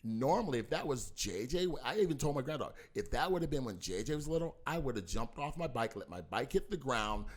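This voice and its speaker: An older man makes his case in a heated family conversation. He talks emphatically, circles back on his points, and talks over anyone who cuts in. His voice sits at an ordinary pitch for a man. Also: very animated, fairly quick, hardly any pauses. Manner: emphatic, repeats himself, insists on finishing. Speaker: father in family mediation.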